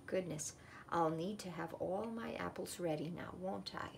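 Storybook apple-tree matron, played by a woman: kindly, homely, modest, creaky voice, faint noise behind. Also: on the low side, a little slow, voice pushed down. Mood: welcoming.

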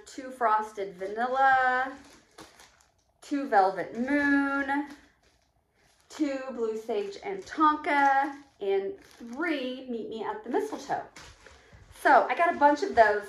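A woman's voice: on the high side, takes it slowly. A middle-aged woman chatting casually to camera at home, rambling and counting items aloud as she shows a shopping haul. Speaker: vlogger showing a shopping haul. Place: echoey room at home. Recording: echoey home room, faint noise.

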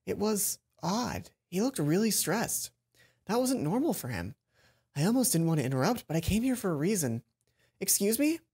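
A man's voice: high-pitched, big pitch swings, normal speed, darkish tone, breathy edge. A young man hosting a let's play, reading visual novel narration aloud and adding casual commentary. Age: young adult. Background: faint game music.

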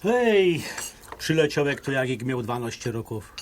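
An actor playing a genial, gallant émigré businessman of about sixty in an audio drama, with a Polish highlander accent and folksy talk sprinkled with English. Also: very animated, a little slow. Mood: jovial, flirtatious. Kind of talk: audio drama acting, conversational.

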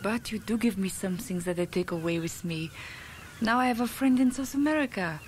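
A woman's voice: medium pitch, a little fast, slight background noise, lightly acted. A woman voicing a romantic, hopeful cartoon cat with a French accent, polite and earnest, in a radio play. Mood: tender, hopeful.

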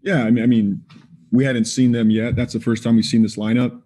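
A man in his forties, a head coach taking postgame questions over a video call. His voice is mellow and dark, and his answers come measured and plain, full of "you know" and "um". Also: mid-range voice, level tone. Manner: measured, plain-spoken, with frequent fillers. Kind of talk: postgame press conference. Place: indoors in front of a team backdrop.

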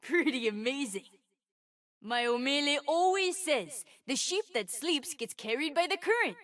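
A woman plays an excitable boy of twelve, a little fire mage from a video game. The voice is high and bright, bouncing widely in pitch. He sounds sweet, earnest and proud of himself. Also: unhurried, recorded from a distance. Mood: hopeful, earnest. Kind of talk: video game character voice lines.

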